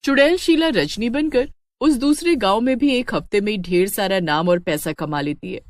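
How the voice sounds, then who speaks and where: A woman giving a scripted, expressive narration of a cartoon horror story. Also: medium pitch, moderate pace, no room sound, clean studio sound.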